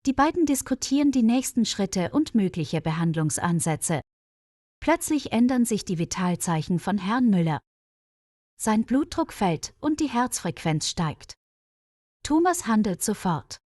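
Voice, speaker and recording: A woman reading a scripted story for language learners, articulating clearly. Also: mid-range voice, big pitch swings, unhurried, a little mellow, no room sound, clean studio sound. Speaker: narrator.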